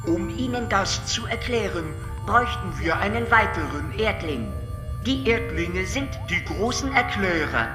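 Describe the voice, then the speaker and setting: A woman playing a calm, all-knowing alien in a radio drama, measured and lecturing, sometimes sticking on syllables. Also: medium pitch, close to an everyday voice. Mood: detached, explanatory. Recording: long reverb, music behind.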